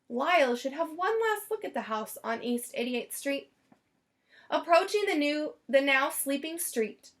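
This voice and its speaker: A woman reading a children's picture book aloud as storyteller, expressively and with deliberate dramatic emphasis. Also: high-pitched.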